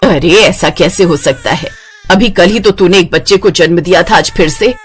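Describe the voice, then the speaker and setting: A woman's voice: fairly low pitch, normal speed, close to an everyday voice. A woman voicing a bossy, suspicious older mother-in-law who scolds, in a cartoon moral story. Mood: shocked.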